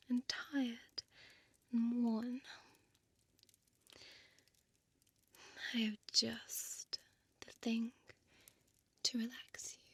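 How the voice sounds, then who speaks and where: An elegant, predatory vampire woman, played by a female actor, whispers seductively in a breathy voice pitched a little high. She speaks very slowly with teasing, possessive formality, close and dry on the microphone like ASMR roleplay. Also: dry recording, exaggerated voice. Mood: coaxing, commanding. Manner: slow, deliberate, hushed, teasing formal speech. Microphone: close dry studio microphone.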